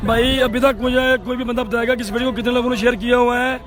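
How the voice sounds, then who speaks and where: High-pitched cricket commentator, man. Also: fairly even intonation, fast talker, over music. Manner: keeps thanking viewers and pleading for shares. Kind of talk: live commentary and viewer shout-outs. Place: outdoor cricket ground.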